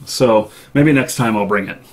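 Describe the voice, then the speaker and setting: A man hosting a casual live interview over an online call, with halting, hesitant phrasing. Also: fairly low pitch. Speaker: live stream host. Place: indoor office.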